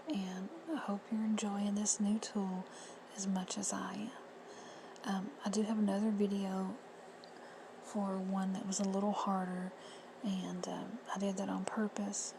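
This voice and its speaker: A woman with a hoarse, husky voice giving plain, friendly step-by-step instructions in a casual how-to. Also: medium pitch. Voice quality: whispery, husky. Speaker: reseller tutorial host. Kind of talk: casual screen-recorded how-to. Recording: noisy home recording.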